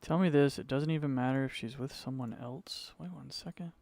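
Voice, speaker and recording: A man's voice: mid-range voice, brisk, vocal fry, background noise. A man hosting a stream, giving casual live reaction commentary. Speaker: streamer reacting to horror series.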